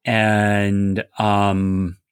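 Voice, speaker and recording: A man's voice: lowish voice, completely flat delivery, drawn-out words, clear, resonant tone, no room sound, studio quality. A man talking casually about a fantasy setting, explaining ideas at length with many fillers. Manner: rambling, filler-heavy, explanatory. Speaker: game designer discussing a setting. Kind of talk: casual discussion.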